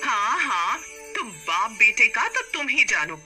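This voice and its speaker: A woman's voice: a little high, sing-song, unhurried, loud music behind the voice. A woman voicing a nagging, worried farmer's wife and mother who scolds and exclaims in a story.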